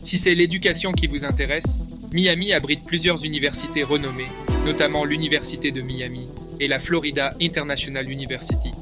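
A man's voice: on the high side, fairly even intonation, fairly quick. Man reading facts over music. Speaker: segment presenter. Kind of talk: scripted fact narration.

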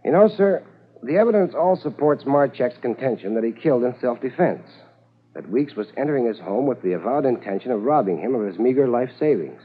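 A man playing a calm, capable police corporal in a 1950s radio drama, with a husky voice. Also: mid-range voice, fairly quick. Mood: measured, reporting. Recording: tinny 1950s radio recording.

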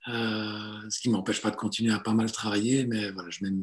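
A man in his forties, a psychotherapist and coach, telling his life story in a relaxed interview, hesitant with many fillers and restarts. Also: fairly low pitch, brisk. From Italy. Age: 40s.

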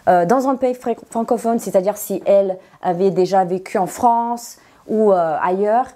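Mellow-voiced teacher, woman. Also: medium pitch. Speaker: non-native French teacher, interview guest. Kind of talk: conversational explanation in an interview.

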